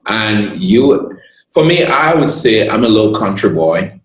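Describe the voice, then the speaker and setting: Deep-voiced man, echoey room. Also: big pitch swings. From Cameroon. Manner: expansive and earnest, pauses to gather his words. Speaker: guest, lawyer. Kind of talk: reflective storytelling in conversation.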